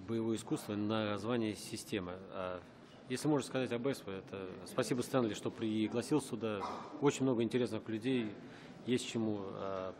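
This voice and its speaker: A middle-aged man, a martial arts instructor, speaking hesitantly in a short interview to camera, with many pauses. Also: on the low side. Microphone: close mic, facing the camera.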